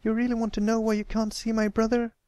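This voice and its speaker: A timid young pony colt from a storybook, acted by one reader, pitched up high. He is meek and halting, guarded about what hurts him, in a dry, close sound. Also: flat delivery, darkish tone, dry recording, close to an everyday voice. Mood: anguished, angry. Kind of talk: acted fan fiction reading.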